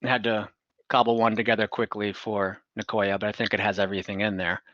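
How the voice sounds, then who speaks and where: A man's voice: on the low side, little pitch movement, a little fast, no echo, muffled recording, pitched below the performer's own voice, natural voice. A man voicing a matter-of-fact servant in a tabletop fantasy game. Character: patron's servant Giles, matter-of-fact, handing out kits.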